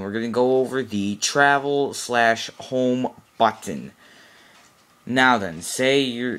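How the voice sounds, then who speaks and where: Low-voiced man giving an informal tutorial. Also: fairly bright tone. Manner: matter-of-fact, instructional. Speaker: product demonstrator. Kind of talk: informal how-to tutorial, unscripted. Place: small cluttered room indoors.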